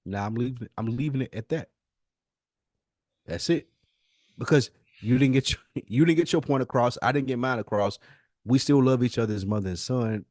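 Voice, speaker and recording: A man's voice: medium pitch, normal speed, pauses often, a little mellow, no room sound, clean studio sound. An American man co-hosting a podcast in casual, argumentative chat, blunt and emphatic, repeating himself to press his point. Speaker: podcast co-host.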